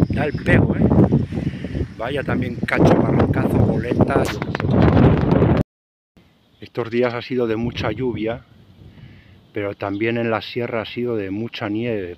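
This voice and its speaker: Older spanish guy narrating his sailing vlog outside, chatty, lots of wind noise on the camera mic. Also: medium pitch. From Spain. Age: 60s. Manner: chatty, talks to viewers while walking and working. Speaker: retired sailor vlogger. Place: outdoors on a boat, beach and town. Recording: noisy outdoor camera audio.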